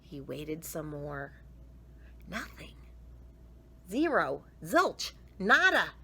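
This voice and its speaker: Bright, very animated woman storyteller. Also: low-pitched, creaky, husky. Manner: expressive, drawn-out emphasis. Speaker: children's storyteller. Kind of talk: animated picture-book read-aloud.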